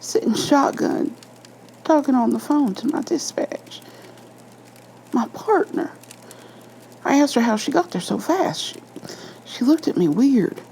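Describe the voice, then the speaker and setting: A woman playing a nervous, shaken police officer who confesses haltingly and breathily in a horror story reading. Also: high voice, sing-song, a little mellow, slight background noise, voice pushed up, full character voice.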